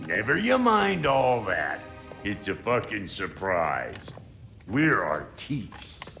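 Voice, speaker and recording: An actor playing a cantankerous, foul-mouthed dwarf blacksmith in a video game, gruff and brash. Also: male voice, lowish voice, huge pitch swings, slow, bright, forceful tone, soft background music, exaggerated voice. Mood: cocky, scheming. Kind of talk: video game cutscene acting.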